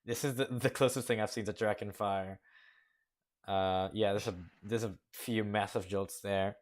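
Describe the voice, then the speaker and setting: A man's voice: lowish voice, fairly even intonation, normal speed. A young man hosting a casual contest review, rambling through frank critique with many fillers. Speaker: host judging a coaster-building contest. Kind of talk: casual commentary over gameplay.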